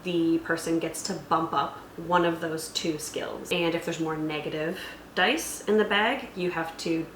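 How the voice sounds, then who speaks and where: A woman's voice: deep voice, fairly even intonation, a little slow, a bit bright. A woman hosting a tabletop roleplaying tutorial, explaining rules methodically and conversationally, step by step. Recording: echoey room with faint background noise.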